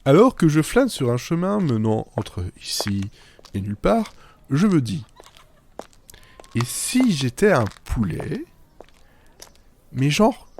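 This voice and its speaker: A man's voice: mid-range voice, a little mellow, a little hiss or hum. A man delivering a scripted first-person monologue as a writer-narrator, whimsical and self-mocking, with playful asides. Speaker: writer narrating own fiction podcast. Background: music.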